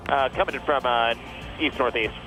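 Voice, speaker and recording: Fast-talking male pilot under loud drone. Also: medium pitch, over loud music, low-quality recording. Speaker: pilot making radio calls. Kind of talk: quick radio readbacks. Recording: quiet radio call under loud engine drone.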